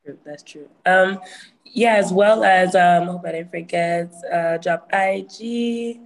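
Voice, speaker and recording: A woman's voice: lowish voice, slow, poor audio. A woman musician giving casual advice about promoting music, chatty and full of fillers like "you know".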